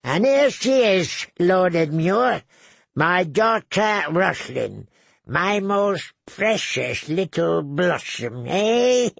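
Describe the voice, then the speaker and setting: A man voicing a sly, crude, cackling old male lord with a gravelly voice who tags phrases with "eh?". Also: sits high, sing-song, drawn-out words, a bit bright, no echo, voice pushed up, heavily acted. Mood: leering. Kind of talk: audiobook character voice.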